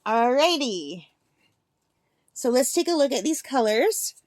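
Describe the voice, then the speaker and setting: Chatty, gushing woman, slightly gravelly. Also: sits high, sing-song, slow pace, a little mellow, no room sound, clean studio sound. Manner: chatty and gushing, thinks aloud. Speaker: art supply reviewer. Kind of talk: casual swatching demo.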